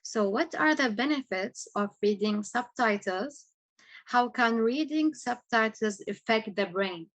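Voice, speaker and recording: A woman hosting an interview, putting questions with somewhat halting phrasing and giving short affirmations. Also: fairly high pitch, animated. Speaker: show host, interviewer.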